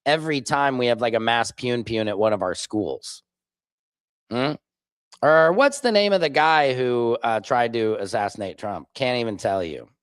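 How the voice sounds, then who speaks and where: A man's voice: mid-range voice, expressive, moderate pace, clean tone, no echo, clean studio sound. A man hosting a political livestream, talking back heatedly to news clips and reading chat comments aloud from his home laptop setup. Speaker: livestream host.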